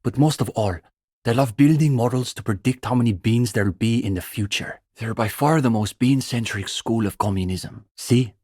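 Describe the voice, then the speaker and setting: A man's voice: medium pitch, moderate pace, a little mellow, husky, no room sound, clean studio sound, natural voice. An actor playing an earnest young male student communist in a video game, intellectual, opinionated and dryly pedantic. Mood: dryly disdainful. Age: young adult. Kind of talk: video game dialogue voice acting.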